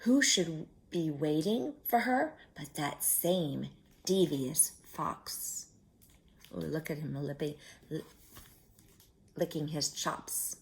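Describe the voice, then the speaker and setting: A woman's voice: low voice, slow. A woman storyteller reading a picture book aloud to young children and explaining it simply. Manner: explains pictures simply, sometimes dropping to a hush.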